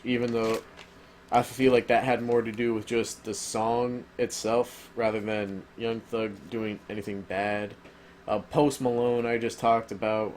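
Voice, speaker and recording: A man's voice: fairly low pitch, a little hiss or hum. A man giving a casual, rambling spoken review, full of ums and uhs, who says he is losing his voice. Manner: rambling, filler-heavy, opinionated. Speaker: album reviewer.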